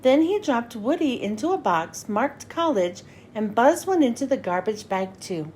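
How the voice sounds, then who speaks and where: A woman narrating a children's storybook as a read-aloud host, with expressive, clearly phrased delivery. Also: fairly high pitch, moderate pace, a little hiss or hum.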